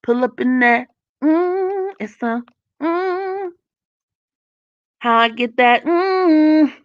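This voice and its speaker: A young woman with a raspy voice hosting a casual live stream, reading comments and giving blunt, profane opinions with frequent laughs. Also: high-pitched, expressive, very slow, dry recording, muffled recording.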